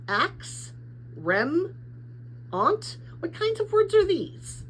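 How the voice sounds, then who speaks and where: A woman's voice: extremely high pitch, very animated, extremely slow, slight background noise, pitched above the performer's own voice, heavily acted. A woman voicing a kindly but patronizing female messenger captain in a storybook, soothing and fervent in her belief. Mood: puzzled, dismissive.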